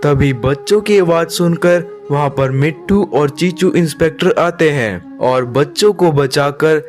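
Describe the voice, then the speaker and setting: A man's voice: on the high side, a little slow, soft background music. A man reading scripted narration as the storyteller of a children's moral story.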